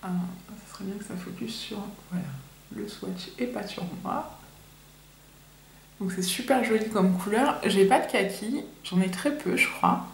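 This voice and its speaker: A woman's voice: mid-range voice, a little slow, vocal fry. A young woman from France chatting casually to camera in a nervous, chatty makeup review full of fillers. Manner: chatty, nervous first-timer, many fillers. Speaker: beauty vlogger. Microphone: camera mic. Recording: echoey room, faint hiss.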